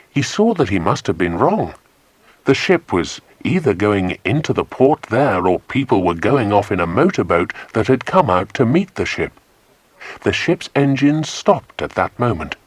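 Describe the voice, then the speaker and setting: A man's voice: on the low side, no echo. A man narrating a children's adventure audiobook expressively, close on a studio microphone. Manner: expressive storytelling. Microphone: close, dry studio microphone.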